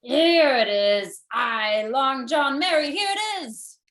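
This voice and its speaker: Woman acting echoey, animated pirate crewmate. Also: sits high, slow, exaggerated voice. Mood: excited. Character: awed, excitable pirate crew member. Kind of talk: radio play reading, pirate dialect. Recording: roomy, echoing home recording.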